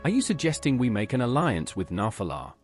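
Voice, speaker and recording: A man's voice: mid-range voice, huge pitch swings, fairly quick, soft background music. An actor playing a plain-spoken male adventurer in a video game, with a direct, questioning manner.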